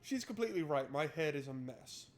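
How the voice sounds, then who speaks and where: A man's voice: on the high side, lively intonation, a little fast. A man doing a playful, wry let's play, switching between reading game text aloud and joking commentary. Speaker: gaming streamer.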